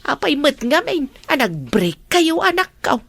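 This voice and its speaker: A woman's voice: high-pitched, big pitch swings, a little slow, gravelly, fairly bright tone, no room sound, exaggerated voice. A woman with an Ilocano accent acting a chatty, doting, meddling mother in a radio drama, fussing expressively. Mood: chatty, nosy.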